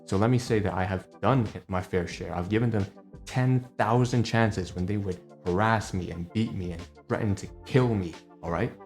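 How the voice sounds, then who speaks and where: Heated low-voiced man ranting at home. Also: moderate pace, faint music behind. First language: Cantonese. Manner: heated, profane ranting with many rhetorical tags. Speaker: vlogger. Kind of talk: personal vlog rant.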